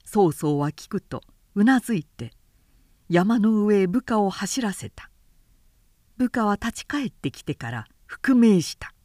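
A woman narrator reads literature in a dramatic audiobook style. The delivery is very slow, deliberate and expressive, with precise diction. The recording is dry. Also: medium pitch, no room sound.

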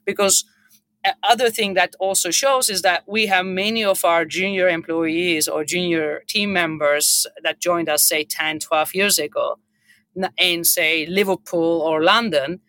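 Middle-aged Turkish-accented woman, bright and forceful, earnest unscripted panel speaker from the hotel business. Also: on the low side, fairly even intonation, unhurried. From Turkey.

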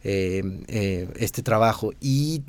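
A man's voice: lowish voice, takes it slowly, dry recording. Mexican man telling his story, dry sound. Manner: relaxed, rambling with many fillers and restarts. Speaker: designer and teacher. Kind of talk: casual interview.

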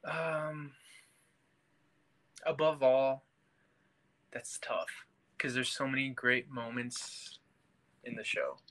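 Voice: mid-range voice, slow, long pauses, fairly bright tone, no echo. A podcast panelist chatting casually and enthusiastically about a TV show in an informal way.

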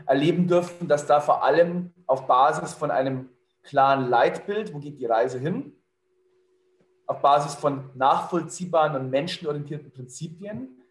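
A middle-aged man talks in a businesslike, fluent way, his voice a little higher than most. The sound comes from an echoey room. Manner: fluent, businesslike, many fillers. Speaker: leadership consultant. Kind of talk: conversational interview answer.

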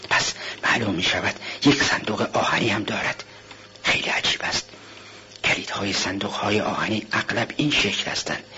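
A man's voice: medium pitch, a bit bright, slight background noise. A man doing a nervous, scheming young student. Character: anxious, scheming poor young male student. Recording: dull lo-fi recording.

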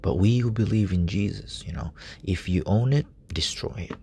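A man preaching softly at night in a relative's room, speaking in a hushed, halting way with many fillers. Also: fairly low pitch, vocal fry, husky. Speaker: lay preacher. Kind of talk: informal late-night sermon.

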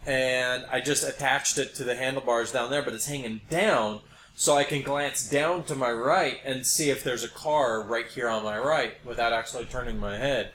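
Wry man chatting, clearly noisy recording. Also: medium pitch, normal speed, fairly bright tone. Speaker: podcast co-host. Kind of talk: casual chat. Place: small room, podcast setup.

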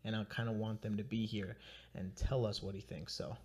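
A man's voice: fairly low pitch, steady tone, fairly quick, a little mellow, slightly rough. Laughing, rambling young podcast host man. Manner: laughs often, loose and rambling with restarts. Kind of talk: casual interview chat.

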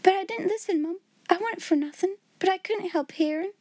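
A woman's voice: very high voice, fairly quick, darkish tone, dry recording, pitched above the performer's own voice. A woman voicing a timid young scullery maid girl who pleads through sobs and drops letters as she talks. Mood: pleading.

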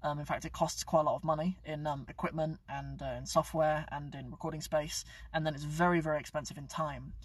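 A man's voice: on the high side, steady tone, fairly quick, hardly any pauses, breathy edge, no room sound, distant mic. A young man hosting a casual live stream from inside his car, joking and rambling with frequent self-corrections. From England. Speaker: YouTube channel host.

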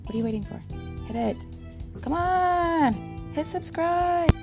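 A woman's voice: very high-pitched, lively intonation, unhurried, loud music behind the voice. A woman hosting a podcast casually, with an upbeat, motivational and informal way of talking. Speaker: podcast host.

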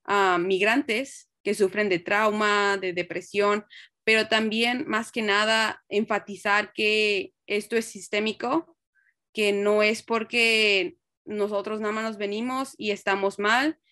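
A young woman from Mexico speaks with a Mexican accent as an interview guest. She is earnest and reflective, with a mid-pitched voice in a dry, close space. Her answers are conversational, with an occasional English word slipped in. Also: little pitch movement, slow pace, sharp tone, no room sound. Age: young adult. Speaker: interview guest, nurse and researcher.

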